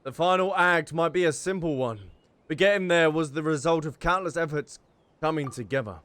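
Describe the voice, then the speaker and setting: A man's voice: fairly high pitch, brisk, a bit bright, a little hiss or hum. A man commenting casually on a video game as he plays, reacting and reading dialogue aloud.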